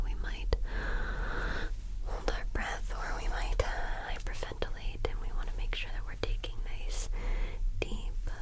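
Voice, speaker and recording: A woman doing a whispered ASMR roleplay as a witch healer, speaking in a breathy, soft whisper with a soothing, gently reassuring and repetitive manner. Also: poor audio. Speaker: ASMR creator playing a soothing witch doing an energy cleanse.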